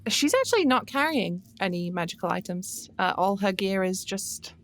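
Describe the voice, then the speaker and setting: A woman's voice: mid-range voice, big pitch swings, normal speed, a little hiss or hum. A woman talking casually as a player describing her character in a tabletop game.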